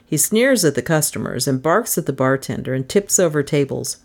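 A woman voicing a casual, wry male detective in a crime story, with a brisk, bossy manner. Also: sits low, animated, darkish tone. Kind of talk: storybook character voice in crime fiction.

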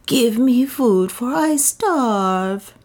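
A woman doing a creaky, whispery old crone. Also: sits low, slow, darkish tone, heavily acted. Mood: pleading. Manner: archaic, pleading, half-whispered speech. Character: haggard old woman wanderer, starving and pleading. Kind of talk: audiobook character reading.

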